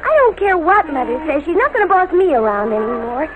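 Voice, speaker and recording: A high, girlish American voice on a faintly noisy old radio recording. She is a lovestruck, naive teenager, defiant and gushing, speaking in breathless, slangy bursts in 1940s radio drama style. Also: big pitch swings, narrow, tinny audio. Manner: breathless, slangy, halting confession.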